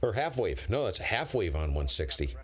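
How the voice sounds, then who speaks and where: A man with a very low voice and an American accent talks off the cuff as an amateur radio operator on a live stream. He rambles in blunt, slangy, casual phrases. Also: sing-song, a little fast, crowd noise. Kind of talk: casual unscripted chat with viewers, on-air call signs.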